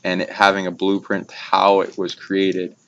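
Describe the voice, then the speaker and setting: Male, very low and flat, halting casual rambler on esoteric subjects; dull recording with noise behind. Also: gravelly. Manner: halting, trailing phrases with abstract jargon. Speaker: speaker on esoteric topics. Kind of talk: casual rambling spiritual discussion.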